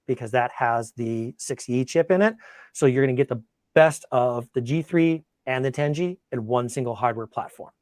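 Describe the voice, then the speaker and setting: A man's voice: medium pitch, darkish tone, no echo, clean studio sound. A man presenting an informal technical webinar and taking questions, talking conversationally and thinking aloud as he goes. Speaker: product demonstrator and webinar presenter.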